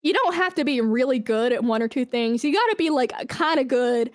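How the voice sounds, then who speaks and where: A woman's voice: high voice, lively intonation, a little fast, dry recording, studio quality. A woman guest in a conversational interview, giving career advice in an informal, encouraging and emphatic way. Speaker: podcast guest, esports brand and community manager.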